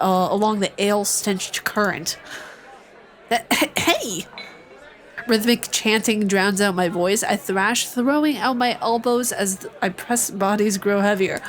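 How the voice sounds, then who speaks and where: A woman hosting a let's play, reading visual novel narration aloud and breaking off into playful, joking asides. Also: mid-range voice. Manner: playful, joking asides between narrated passages, frequent laughs. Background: game music.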